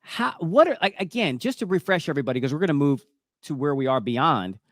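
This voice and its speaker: A man in his fifties hosts a faith-based talk show with a slightly high voice. He speaks emphatically and conversationally, circling back and checking in often. The sound is very dry. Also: sing-song, brisk, no echo. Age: 50s. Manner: emphatic, repetitive, checks in with "right?". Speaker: show host.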